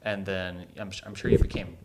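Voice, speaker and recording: A man co-hosting a podcast, asking a long question haltingly with many restarts. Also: low voice, level tone, very noisy. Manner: halting, full of filler likes and restarts. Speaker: podcast co-host.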